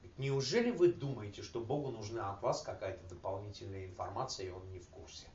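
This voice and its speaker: A man's voice: on the low side, quick pace. A man speaking casually to a recovery group, telling jokes and using slangy, coarse words. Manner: animated, laughing, slangy and coarse. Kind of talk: casual joking talk to a group. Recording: roomy, distant, dull with faint noise.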